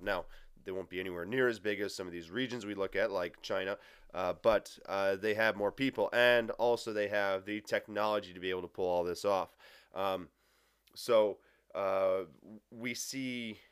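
A man giving a casual history lecture, full of uhs and restarts, his voice a bit nasal and raspy from allergies. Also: on the low side, no echo, studio quality. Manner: filler-heavy, casual, frequent uhs and restarts. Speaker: history teacher. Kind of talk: recorded lecture.

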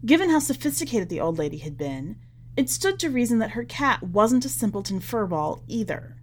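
A woman reading a short story as a podcast narrator, with wry, deliberate emphasis in an expressive scripted delivery. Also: on the high side, slight background noise.

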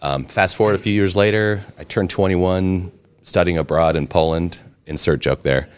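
Very deep-voiced man telling stories. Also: normal speed, smooth. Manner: chatty, self-deprecating humor, frequent restarts. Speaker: interview guest, restaurant and brewery owner. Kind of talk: casual storytelling interview.

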